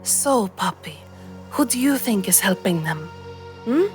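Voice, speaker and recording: A woman voicing a shrewd, teasing female traveler with a measured, coaxing manner in a tabletop game. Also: medium pitch, lively intonation, over music, close to an everyday voice. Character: Vianne, shrewd teasing female spy companion.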